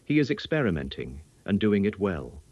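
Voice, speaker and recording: A man's voice: low-pitched, very animated, moderate pace, no echo, lo-fi sound. A man voicing a learned, earnest old professor in an audiobook, lecturing emphatically in quaint English. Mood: grave, emphatic. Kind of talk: audiobook character acting.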